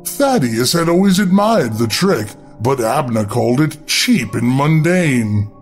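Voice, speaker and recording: A man's voice: mid-range voice, sing-song, unhurried. A man narrating a scripted horror story in a dramatic storytelling style. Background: background music.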